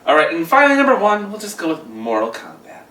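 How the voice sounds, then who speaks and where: A man's voice: fairly high pitch, wildly swooping pitch, fast, bright, forceful tone, a little hiss or hum. A man on a panel talking casually and off the cuff. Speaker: podcast panelist. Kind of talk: casual, off-the-cuff group chat. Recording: echoey room, distant microphone.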